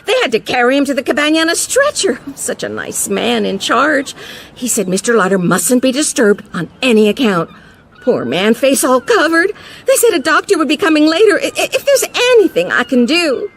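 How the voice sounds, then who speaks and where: A woman's voice: high-pitched, big pitch swings, fairly quick, slight background noise. A woman playing a gossipy, solicitous, fussy lady in a radio drama. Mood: fussing, pitying.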